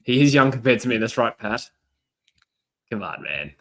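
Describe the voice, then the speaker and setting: A man in his thirties co-hosting a football watchalong in casual chat, relaxed and quick to laugh. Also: medium pitch. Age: 30s. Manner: relaxed, laughs easily, thinks aloud. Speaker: co-host of a live football watchalong.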